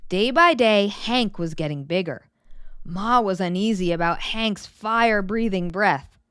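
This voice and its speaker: A woman reading a children's story aloud in an animated, dramatic way, drawing out words for emphasis. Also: mid-range voice, measured pace, clear, resonant tone, dry recording, studio quality. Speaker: children's story reader and host.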